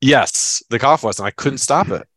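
A man's voice: medium pitch, lively intonation, normal speed, fairly bright tone, dry recording, low-quality recording. A man co-hosting a podcast in casual chat, sounding stuffed up and talking in an enthusiastic, rambling way. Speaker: podcast co-host.

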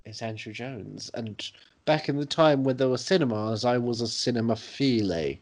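A man's voice: medium pitch, moderate pace, darkish tone. A man co-hosting a podcast in casual comic banter, with playful, mock-grand phrasing. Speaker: podcast co-host.

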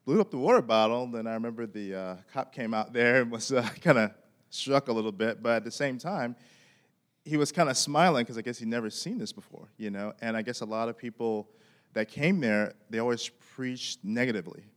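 Man, mid-pitched voice, casual storytelling, lots of fillers. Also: a little fast. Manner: loose, many fillers and "you know". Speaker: guest telling a story in church.

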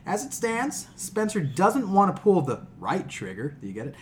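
A man's voice: high-pitched, huge pitch swings, normal speed, a little hiss or hum. A man co-hosting a casual gaming podcast, joking in crude, sweary slang. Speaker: podcast co-host.

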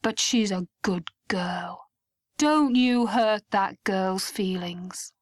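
A woman voicing a gruff, protective older mother who talks bluntly and scolds. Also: on the high side, moderate pace, dry recording, studio quality, natural voice. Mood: stern.

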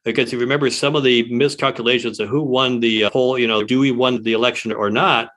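A man's voice: mid-range voice, fairly even intonation, quick pace, a bit bright. A man in his sixties from Detroit, a pollster who is a guest on a radio interview and talks about his career in a chatty, rambling way. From Detroit, Michigan. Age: 60s.

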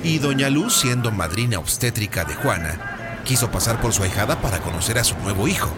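Mexican male narrator for radio soap, very lively intonation, music underneath. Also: fairly low pitch, a little fast. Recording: music bed behind the voice.

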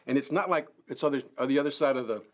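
A woman's voice: rumbling low, racing. A woman co-hosting a podcast, chatting casually with teasing, bantering interjections. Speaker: podcast co-host.

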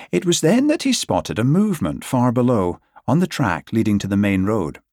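Low-pitched man narrating an audiobook. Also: darkish tone, dry recording, clean studio sound. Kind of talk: scripted literary narration.

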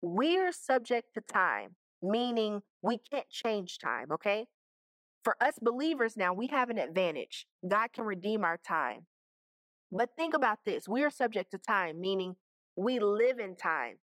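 A woman's voice: mid-range voice, very animated, unhurried, fairly bright tone, dry recording. A woman giving a casual Bible teaching, talking conversationally and checking in with her listeners. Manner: conversational, keeps checking in with "okay?" and "right?".

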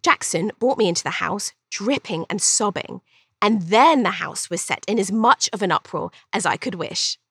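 A woman's voice: medium pitch, animated, moderate pace, a bit bright, husky, dry recording, clean studio sound. A woman voicing a cheeky, vain young Regency Englishwoman telling her own story in an arch, chatty, theatrical way for an audiobook. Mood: wry, confiding. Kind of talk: first-person audiobook storytelling.

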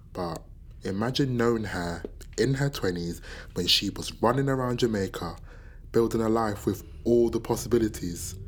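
Actor as grieving young British-Jamaican, British accent, husky, searching, hesitant, naturalistic radio drama. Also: medium pitch, close to an everyday voice. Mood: tender regret. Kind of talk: naturalistic radio play acting.

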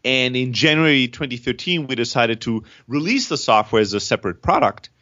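A startup founder in his thirties, originally from Greece and raised in Germany, talks through his story with restless energy and keeps restarting his phrases. His voice sits at an ordinary middle pitch. The audio is a little dull and lo-fi. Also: a little fast. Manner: energetic, frequent restarts and repeated words. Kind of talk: candid interview storytelling.

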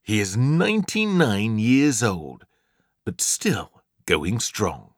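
A man's voice: lowish voice, wildly swooping pitch, slow, no room sound, studio quality. A man with an English accent narrating a comic children's story in a theatrical, emphatic way, drawing out key words. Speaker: audiobook narrator.

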